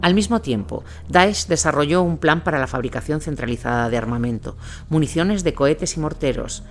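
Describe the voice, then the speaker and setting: A man's voice: fairly high pitch, expressive, a little fast. A man reading scripted first-person war narration as a storyteller. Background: noisy ambient sound.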